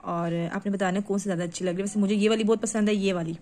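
A woman's voice: mid-range voice, racing, slight background noise. A young mother from Pakistan, showing her purchases on camera at home and coaxing her children as she goes. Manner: lively, coaxing, talks to her children while filming. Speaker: vlogger and mother. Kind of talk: casual vlog show-and-tell. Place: home room indoors. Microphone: handheld phone camera.

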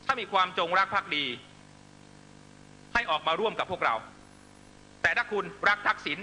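A man's voice: high-pitched, expressive, normal speed, sharp tone, slight background noise, rough recording. A man delivering a fiery political speech to supporters, accusatory and emphatic, constantly pressing listeners with rhetorical questions. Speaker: political rally speaker.

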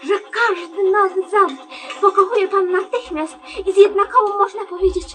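Extremely high female voice, naive, adoring, sentimental, deferential, radio comedy. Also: normal speed, a bit bright. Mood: adoring, gushing. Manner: gushing, hesitant, sentimental, deferential. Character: adoring, naive, meek woman hopelessly devoted to him. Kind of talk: comedy radio play acting.

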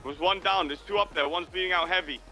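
A man's voice: high voice, quick pace, music in the background. A man making short callouts to teammates during an online game. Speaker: gamer in online roleplay. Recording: very noisy game chat, engine noise.

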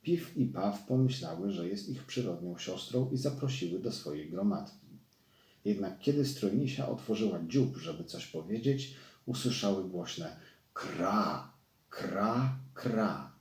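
A man's voice: sits low, animated, moderate pace, mellow. A man reading a children's fairy tale as a scripted storyteller. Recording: roomy echo.

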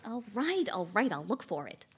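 A woman's voice: mid-range voice, big pitch swings, moderate pace, a little hiss or hum. A woman playing a sassy, sarcastic office assistant, wisecracking in a live radio drama. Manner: wisecracking, mocking. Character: sassy, sarcastic female assistant, former cocktail waitress. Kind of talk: live old-time radio drama acting. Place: live stage studio performance.

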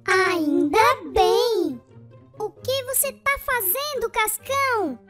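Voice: very high-pitched, very animated, takes it slowly, a bit bright, heavily acted. An actor voicing a bickering little child in a children's cartoon, exclaiming in a whiny, bossy way. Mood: curious. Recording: music bed under the voices.

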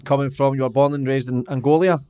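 A man's voice: mid-range voice, steady tone, fast talker, dark tone. A man in his thirties hosting a podcast, asking friendly questions and swearing casually. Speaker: podcast host. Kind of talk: casual interview chat. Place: small podcast studio, brick wall. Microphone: studio mic on boom arm.

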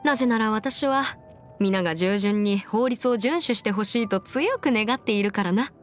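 A woman's voice: mid-range voice, expressive, normal speed, lightly acted. A woman voicing a confident, clever young female legal advisor in an anime game, articulate and slightly boastful, with playful pride. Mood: proud, confident. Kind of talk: Japanese anime game character voice lines. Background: music.

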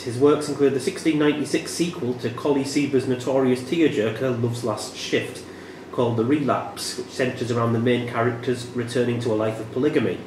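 A man with a mid-range voice reads quiz questions deliberately. He sounds like a pub quiz host in a reverberant room, with some noise around him. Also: medium pitch, a little mellow. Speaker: quiz master.

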